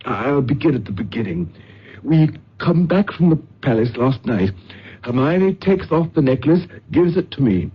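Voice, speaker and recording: Husky, flustered lord, muffled recording. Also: male voice, medium pitch, big pitch swings, normal speed. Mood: agitated. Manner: blustering, stammering, clipped phrases. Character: flustered, pompous English lord, pleading and anxious. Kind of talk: old-time radio drama acting. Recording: dull old radio drama recording.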